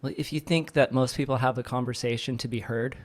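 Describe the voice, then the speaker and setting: A man's voice: medium pitch, fairly even intonation, fast. A man talking as a podcast guest, earnest and searching for the right words. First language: English. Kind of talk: casual chat.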